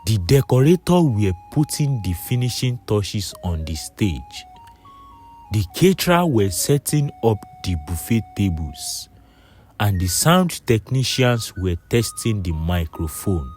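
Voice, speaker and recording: A man's voice: fairly low pitch, sing-song, measured pace, darkish tone, soft background music. A man narrating a scripted folktale in storybook style.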